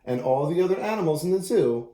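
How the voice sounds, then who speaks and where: A man reading a children's picture book aloud as an expressive storyteller, in an echoey room. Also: on the high side, fast.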